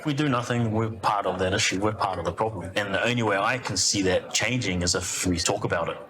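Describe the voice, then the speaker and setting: Scottish man, low voice, blunt, video playing with a crowd audible behind. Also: fairly quick. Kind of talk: campaign video testimony.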